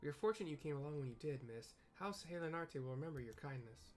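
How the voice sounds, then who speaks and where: A man's voice: a little high, lively intonation, fast, close to an everyday voice. A man voicing an exhausted, frustrated knight from a fantasy game, with formal, courtly speech. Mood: grateful. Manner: formal, exclamatory.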